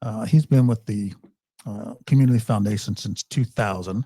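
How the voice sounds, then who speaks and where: A man's voice: lowish voice, normal speed, warm tone, breathy edge, no echo, studio quality. A man hosting a podcast interview, upbeat, introducing his guest from notes with some fillers. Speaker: podcast host.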